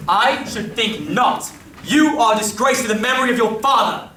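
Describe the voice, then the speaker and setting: In a roomy, echoing hall, picked up from a distance, a man plays a stern yet kindly English gentleman for a radio play. He speaks formally and declaims in a fairly high male voice. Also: lively intonation, moderate pace. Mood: stern, accusing. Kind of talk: amateur stage radio play acting. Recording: echoey hall from far off, faint noise.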